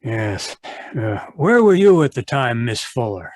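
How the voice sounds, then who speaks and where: A man's voice: medium pitch, very animated, slow pace, no echo. A man acting as a polite, probing newspaper reporter in an old-time radio mystery. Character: inquisitive, polite but shrewd male newspaper reporter. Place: remote video call performance. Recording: live video-call recording, dry.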